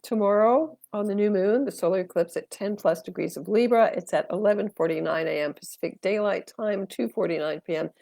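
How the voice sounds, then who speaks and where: Older woman, stuffy head cold, gravelly low voice, rambling live-stream chat, dry phone sound. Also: a little fast, hardly any pauses, warm tone, no echo. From Canada. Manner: chatty, rambling, many fillers. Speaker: astrologer and tarot reader hosting a live stream. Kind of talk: casual live-stream talk.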